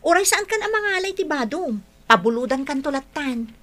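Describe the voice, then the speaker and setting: An actress with an Ilocano accent playing a bubbly, teasing young best friend and matchmaker in a radio drama. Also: female voice, high voice, exaggerated voice. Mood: eager, friendly. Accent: Ilocano, northern Philippines. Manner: animated, teasing, drawn-out words.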